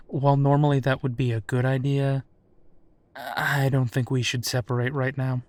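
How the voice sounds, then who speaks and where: A man acts out a protective werewolf detective for an intimate audio roleplay. His voice sits at a middle pitch and he talks with caring, worried steadiness, pausing as he reassures. The sound is dry and close, with no room on it. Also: level tone, no echo, lightly acted. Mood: serious, planning.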